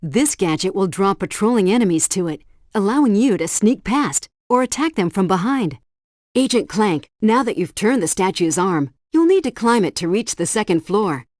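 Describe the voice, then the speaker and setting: A woman's voice: medium pitch, lively intonation, moderate pace, darkish tone, no echo, clean studio sound, lightly acted. A woman voicing a helpful female helpdesk guide in a video game, giving scripted tutorial instructions and mission briefings with precise diction. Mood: instructive.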